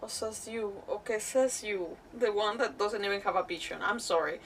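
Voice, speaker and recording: A woman streaming a game, giving casual, expressive live reactions full of exclamations. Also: medium pitch, moderate pace, recorded from a distance, slight background noise.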